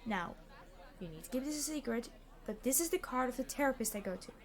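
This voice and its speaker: A woman playing a weary immortal supervillain in an ASMR roleplay, an exasperated boss who is gentle with hostages, speaking formally with dry sarcasm. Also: on the high side. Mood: gentle, confiding. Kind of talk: scripted ASMR roleplay acting.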